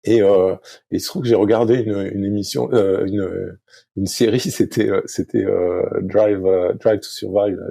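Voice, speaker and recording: Low gravelly man, halting speech. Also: fairly even intonation, mellow, no echo. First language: French. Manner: halting, many fillers and restarts. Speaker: guest, sailing coach. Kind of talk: podcast interview, thinking aloud.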